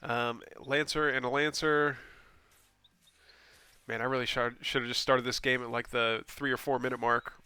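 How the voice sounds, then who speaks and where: Bright-voiced male caster with a cold. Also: mid-range voice, moderate pace, clean tone, no echo. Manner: casual, rambling, with frequent ums and self-corrections; bursts of excitement at plays. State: stuffy, scratchy voice from a cold. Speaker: game caster. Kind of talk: solo replay commentary.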